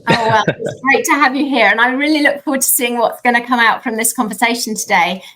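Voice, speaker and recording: A woman hosting her podcast, welcoming and questioning a guest with encouraging, drawn-out emphasis. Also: on the high side, sharp tone, poor audio. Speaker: podcast host.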